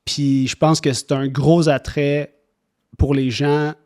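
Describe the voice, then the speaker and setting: A man from Quebec with a Québécois accent talks as a podcast guest. His voice is somewhat high for a man, and his delivery is informal and chatty. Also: little pitch movement, slow, a little mellow. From Quebec, Canada. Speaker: podcast guest, clinic founder. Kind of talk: casual chat.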